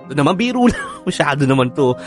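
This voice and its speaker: An actor with a Filipino accent playing a defensive, easily rattled husband in a radio drama, conversational and expressive. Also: male voice, on the high side, fast, music in the background. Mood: playful, reassuring.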